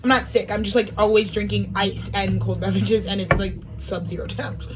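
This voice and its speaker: A woman's voice: mid-range voice, steady tone, fairly quick, over music, poor audio. A woman giving a casual spiritual monologue as an astrology speaker, a little sniffly, rambling with lots of fillers and free swearing.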